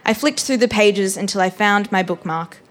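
A young woman giving an expressive live reading of a first-person story, acting out bits of dialogue. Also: mid-range voice, normal speed. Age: young adult. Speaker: performing arts graduate reading a story aloud.

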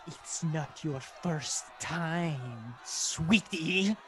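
An actor playing a cruel, menacing soldier in a radio play, sneering, taunting and commanding. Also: male voice, fairly high pitch, drawn-out words, fairly bright tone, a little hiss or hum. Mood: sneering, threatening.

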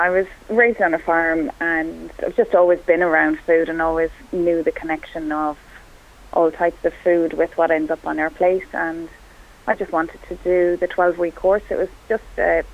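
A woman's voice: fairly low pitch, little pitch movement, moderate pace. A woman from Kerry with an Irish accent, whose first language is Irish, answering interview questions modestly and plainly. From Ireland. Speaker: phone-in guest. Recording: tinny phone line.

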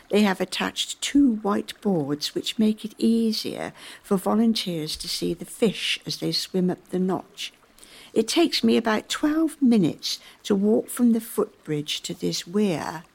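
A woman acts an earnest river volunteer in a community radio play. Her voice sits at an average pitch with clear fry in it. She explains things in a measured, scripted way. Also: lively intonation, a little mellow. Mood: earnest, explanatory. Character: earnest woman volunteer fish counter and embroiderer.

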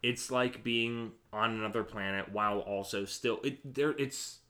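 A man's voice: fairly low pitch, measured pace, pushed and bright. A man hosting a podcast in casual chat, talking in an opinionated, emphatic way and swearing freely. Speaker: podcast host.

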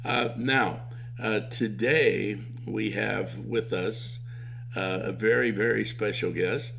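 Male podcast host, gravelly, hesitant with frequent fillers. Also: fairly low pitch, steady tone, a bit bright, slight background noise. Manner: halting, many fillers and restarts. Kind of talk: interview podcast.

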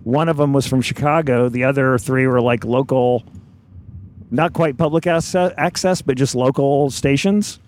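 A man's voice: medium pitch, moderate pace, a little mellow, a little hiss or hum. A man from Indiana hosting a podcast, chatting casually and teasing his guest while riding in a car. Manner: laughs often, teasing. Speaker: podcast host. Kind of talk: casual chat. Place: inside a moving car.

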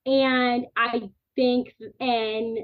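A woman's voice: on the high side, extremely slow. A woman in her thirties, born in the Dominican Republic, speaking earnestly as a podcast guest in long, flowing stretches of thought. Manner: earnest, animated, talks in long chains of clauses. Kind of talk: podcast interview. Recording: tinny phone-like line.

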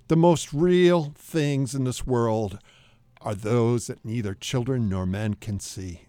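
A man reads aloud in an earnest, theatrical style, with emphatic phrasing suited to a sentimental old letter. His pitch is medium for a man. The recording is close and dry, with very little room sound. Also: a little mellow, no echo. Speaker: guest reader on a radio show.

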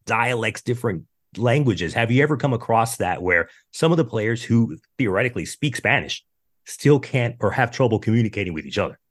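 A man's voice: medium pitch, lively intonation, a little fast, no echo, studio quality. A man hosting a podcast, asking long, rambling questions with restarts. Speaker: podcast host. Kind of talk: casual interview questions.

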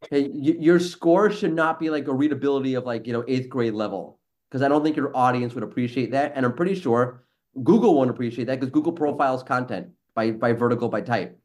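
A man's voice: mid-range voice, a little fast, a little mellow. A man hosting a casual podcast, talking in a blunt, sarcastic and mildly profane way. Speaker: podcast host, SEO professional and former teacher.

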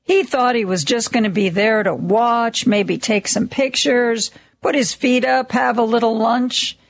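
A woman hosting a radio talk show in a studio, with a slightly raspy, hoarse voice and a chatty, self-deprecating way of talking full of ums and restarts. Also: a little high, measured pace. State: a little hoarse, lingering cough. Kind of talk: casual on-air monologue. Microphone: studio microphone.

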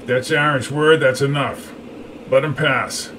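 A man's voice: medium pitch, steady tone, unhurried, sharp tone. A man voicing a commanding, suspicious rebel leader in an audiobook, with faint hum behind him. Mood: stern.

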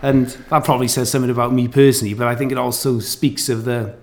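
A man talking in a thoughtful, measured way, with fillers, in a casual academic discussion. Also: mid-range voice, little pitch movement.

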